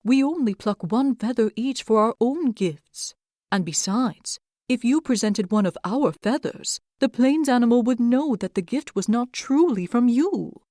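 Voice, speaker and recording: A woman doing a gentle, formal owl. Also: fairly high pitch, animated, a little slow, no echo, clean studio sound, voice pushed up. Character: gentle noble male owl predator.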